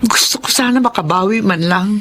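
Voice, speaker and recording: A woman's voice: mid-range voice, fairly quick, a bit bright, slight background noise, close to an everyday voice. A woman playing a middle-aged, remorseful estranged mother in a Filipino radio drama. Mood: pleading, remorseful. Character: remorseful middle-aged mother who left her family.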